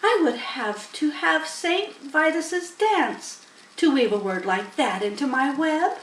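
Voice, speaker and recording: A woman's voice: high-pitched, lively intonation, slow, reverberant, far from the mic, slight background noise, pitched above the performer's own voice, low-quality recording. A woman voicing a clever, businesslike female spider with a frank, commanding manner in a storybook. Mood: disgusted.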